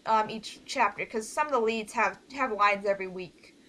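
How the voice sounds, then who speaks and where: A woman's voice: mid-range voice, moderate pace, bright, forceful tone, poor audio. A woman leading a casual online group meeting, talking informally through instructions step by step. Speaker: organizer leading a voice project meeting.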